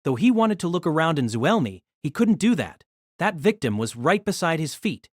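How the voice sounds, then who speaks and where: A man's voice: on the high side, sing-song, normal speed, no room sound, clean studio sound. A man giving a dramatic scripted narration of an action fantasy story.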